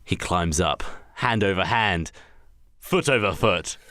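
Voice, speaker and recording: Animated man, theatrical comic narrator. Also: low voice, takes it slowly, no echo. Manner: theatrical, rambling, self-correcting. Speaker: podcast host narrating a story. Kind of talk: improvised comic radio play narration.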